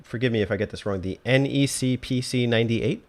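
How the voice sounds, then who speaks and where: A man's voice: medium pitch, fairly quick. A man hosting a casual interview podcast, laid-back and inquisitive in the way he talks. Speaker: podcast host.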